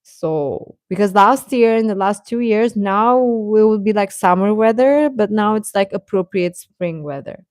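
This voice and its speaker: A woman hosting a casual livestream chat, answering viewers informally and haltingly, often repeating short phrases. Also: mid-range voice, lively intonation, a little mellow, dry recording. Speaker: livestream host chatting with viewers.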